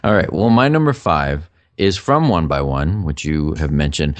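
A middle-aged man with a low voice, co-hosting a casual podcast chat in a chatty, rambling way. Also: normal speed, no room sound. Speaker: podcast co-host.

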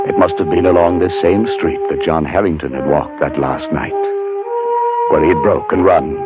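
A fearful, haunted narrator of 1940s radio horror. His voice is deep and his delivery deliberate and dramatic. The sound is narrow and muffled, with clear music behind him. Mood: mounting terror. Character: haunted, fearful man recounting his terror. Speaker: narrator and lead actor.

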